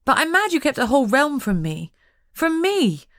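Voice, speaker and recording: A woman voicing an excitable, competitive young werewolf woman who talks high and animated in an audiobook. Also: moderate pace, dry recording, studio quality. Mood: indignant.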